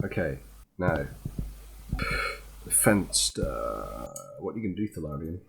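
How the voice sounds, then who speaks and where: A man's voice: extremely low pitch, very animated, a little slow, gravelly, slight background noise. A man acting as game master in a casual voice-chat tabletop game, prompting each player by name.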